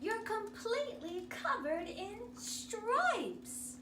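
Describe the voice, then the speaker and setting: High-pitched, dramatic storybook mother, woman reader. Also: sing-song, drawn-out words, full character voice. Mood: shocked. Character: dramatic, fretting storybook mother, shocked then firm. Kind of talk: animated children's storybook read-aloud. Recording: echoey room, distant mic, clear noise.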